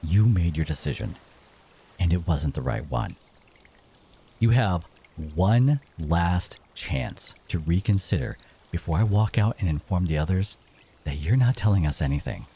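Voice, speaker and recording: A man acting as a bitter exiled merfolk interrogator in an audio drama, speaking in a stern, accusing way with dramatic pauses. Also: sits low, lively intonation, mellow, husky, exaggerated voice. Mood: cold warning.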